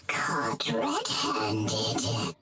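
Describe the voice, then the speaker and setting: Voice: medium pitch, heavily acted. An actor playing a spiteful, sneering male assassin in a video game, with a whispery, theatrical delivery. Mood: gloating. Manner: slow, theatrical, gloating. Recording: loud music over the voice. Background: loud game music.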